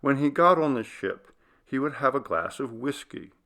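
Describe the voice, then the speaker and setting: A man narrating a literary ghost story in a measured, deliberate storytelling manner. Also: mid-range voice, animated, pushed and bright. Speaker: audiobook reader.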